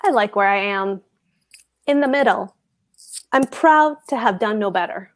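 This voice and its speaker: A woman's voice: medium pitch, animated, a little slow, natural voice. A woman at a table read playing a pompous, dutiful husband and government clerk who declaims his opinions emphatically. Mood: smug. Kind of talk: cold table read of a stage play.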